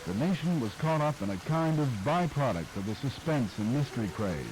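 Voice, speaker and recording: A man with a mid-range voice narrates in a measured, scripted way, his pitch rising and falling with energy. Clear background noise sits under the recording. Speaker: narrator of radio history.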